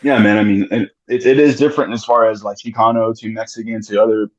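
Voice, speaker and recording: A man with a lowish, husky voice talks loosely and casually, circling back on himself with restarts and swearing. The sound is dull and lo-fi. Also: steady tone, fast. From United States. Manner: rambling, frequent restarts and swearing. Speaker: musician guest. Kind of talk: casual podcast conversation.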